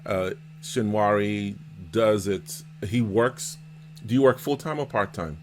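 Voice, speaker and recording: A man hosting a casual vlog interview as a seller and mentor, explaining things in a halting, repetitive way. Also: on the low side. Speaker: host, Amazon seller and mentor. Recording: noticeable background noise.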